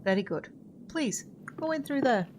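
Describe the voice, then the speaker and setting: A woman's voice: sits high, animated, normal speed. Courteous, mysterious witch played by a woman, noisy recording. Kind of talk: audio drama acting.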